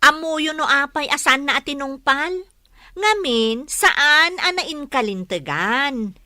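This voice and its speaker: A sensible young daughter, acted by a woman in a radio comedy drama. Her voice is very high and bright, recorded dry, as she questions and coaxes like a peacemaker. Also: lively intonation, slow, dry recording, exaggerated voice. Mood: coaxing, reproving.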